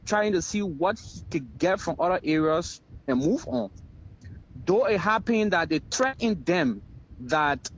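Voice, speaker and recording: High-pitched, animated Liberian man, arguing emphatically. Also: measured pace, highs cut off. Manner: emphatic, repeats phrases for effect. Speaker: political talk show guest. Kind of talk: heated political commentary.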